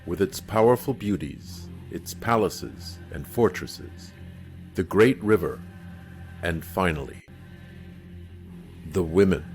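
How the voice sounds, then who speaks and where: Man, 50s, very deep clear voice, slow dramatic narration, music underneath. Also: animated. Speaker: film narrator.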